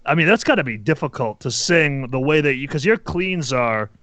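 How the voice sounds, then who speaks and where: A man's voice: a little high, expressive, normal speed, fairly bright tone, no echo. A man co-hosting a casual interview, searching for his words as he goes.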